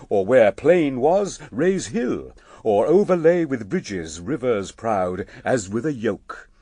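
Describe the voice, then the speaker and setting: Husky, slow male narrator. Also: medium pitch, a little mellow, dry recording, studio quality. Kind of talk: dramatic epic verse narration for radio.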